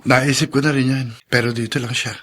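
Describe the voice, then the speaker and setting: An actor playing an earnest, polite young man in a radio drama, husky-voiced and serious. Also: medium pitch, steady tone, brisk, fairly bright tone, close to an everyday voice. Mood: grave. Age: young adult. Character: earnest young man, shy then grimly determined.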